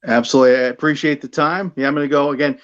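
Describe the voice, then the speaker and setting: A man's voice: on the high side, fairly even intonation, rapid-fire, a bit bright, muffled recording. A middle-aged American man, a broadcaster from Buffalo, talking informally and earnestly as an interview guest. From Buffalo, United States. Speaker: sports broadcaster and news anchor, interview guest. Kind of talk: casual conversational interview.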